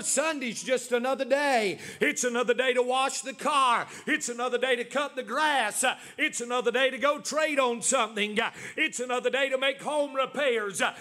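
A man's voice: extremely high pitch, sharp tone. A man in his forties preaching a sermon as pastor, with many "uh" fillers and emphatic repetition. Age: 40s.

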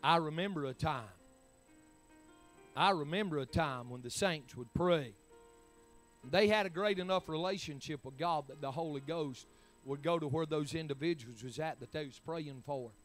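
A man's voice: fairly high pitch, moderate pace, soft background music. A man, preaching a sermon in emphatic, rising bursts. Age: 40s. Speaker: pastor.

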